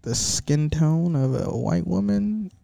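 Gravelly, animated young American man joking around. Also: fairly high pitch, takes it slowly, no room sound. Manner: joking, blunt, swears freely. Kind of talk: casual group chat, slangy banter.

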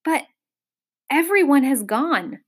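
Earnest storybook boy female reader acting: high voice, courteous, sensible, questioning. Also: animated, slow. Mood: polite. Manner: polite, questioning. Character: polite earnest young boy, puzzled and sensible.

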